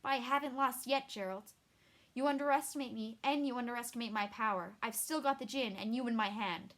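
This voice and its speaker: Proud sorceress read by a woman, bossy and loud. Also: mid-range voice, moderate pace. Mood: cold. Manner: commanding, forceful. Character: cold, proud female sorceress.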